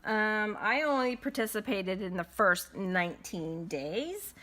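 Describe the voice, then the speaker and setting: A congested woman chatting casually as she stitches in a home vlog, in an unhurried, friendly way. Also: medium pitch, expressive, pushed and bright. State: congested from a cold. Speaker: cross-stitch vlogger. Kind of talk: casual chat while stitching. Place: at home with windows closed.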